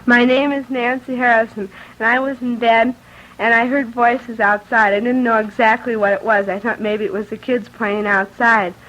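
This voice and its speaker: A woman's voice: fairly high pitch, little pitch movement, moderate pace, a bit bright, dull audio. A fifteen-year-old American girl giving a recited eyewitness statement. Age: teenager, fifteen.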